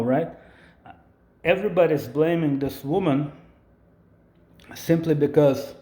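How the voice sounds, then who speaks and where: A man's voice: mid-range voice, mellow, reverberant. A Ukrainian-born man hosting his own channel, ranting in an indignant, repetitive, profane way. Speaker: tech channel host. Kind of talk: ranting video commentary.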